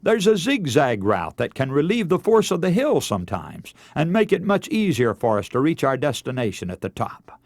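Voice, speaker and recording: A man preaching a radio sermon in earnest, measured oratory, moving between scripture and exhortation. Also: a little high, big pitch swings, a little mellow, no echo.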